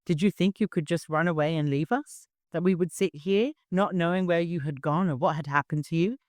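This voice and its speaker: A man's voice: fairly high pitch, a little fast, no room sound, clean studio sound, voice pushed up, close to an everyday voice. A man voicing a cruel, volatile, domineering brother in an audiobook, commanding and threatening. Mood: furious. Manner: swings from smooth, stern menace to screaming rage.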